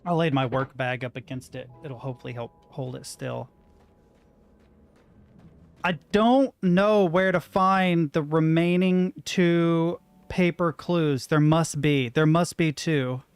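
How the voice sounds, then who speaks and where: A man's voice: fairly high pitch, takes it slowly, clean tone. A young man thinking aloud as he plays a video game at home, muttering to himself. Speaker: gaming streamer. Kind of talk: let's play commentary. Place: room at home with a window and a rattling door.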